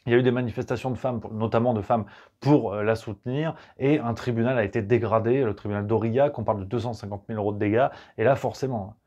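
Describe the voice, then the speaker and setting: A man's voice: mid-range voice, fairly even intonation, fast, mellow. A man in the middle of the male range, riffing with sarcasm and crude jokes. His talk is loose and chatty, full of asides to the listener. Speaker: host. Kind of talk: satirical political commentary.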